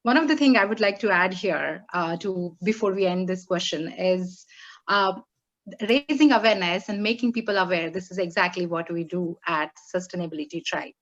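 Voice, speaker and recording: A woman moderating an online panel, speaking haltingly with many fillers as she sets up the next question. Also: fairly low pitch, highs cut off.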